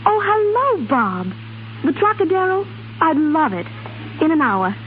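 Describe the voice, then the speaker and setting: A woman's voice: extremely high pitch, big pitch swings, a little slow, full character voice. An actress playing a young salesgirl, eager and dramatic, in a 1930s radio commercial. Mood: bright, eager. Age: young adult. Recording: hissy, narrow-band 1930s radio recording.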